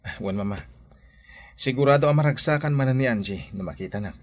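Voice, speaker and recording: A man's voice: medium pitch, lively intonation, fairly quick. A man acting in an Ilocano audio drama as a dutiful, agreeable adult son.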